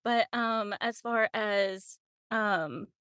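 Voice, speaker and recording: A woman's voice: medium pitch, very slow, bright, forceful tone, smooth, no echo, clean studio sound. A woman with a very young-sounding voice, an audiobook narrator chatting informally as an interview guest and giggling often. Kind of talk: casual conversational interview.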